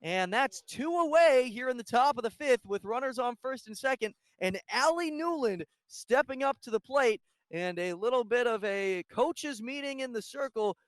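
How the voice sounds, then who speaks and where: A man with a high voice commentates live on a softball game as the play-by-play announcer. He follows every pitch in detail, and the sound is dry and close. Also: animated, normal speed, few pauses, smooth, no room sound.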